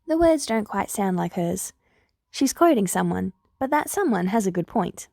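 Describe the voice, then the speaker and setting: A woman's voice: medium pitch, very animated, normal speed, gravelly, darkish tone, dry recording, clean studio sound. A woman narrating written fiction as an audiobook-style reader. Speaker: podfic reader.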